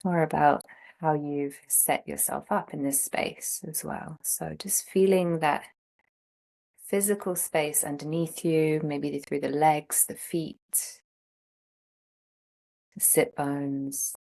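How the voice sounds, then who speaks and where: A woman teaching a guided meditation, speaking gently in short phrases with long pauses between them. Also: low-pitched, fairly even intonation, creaky. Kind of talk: guided meditation, slow instruction.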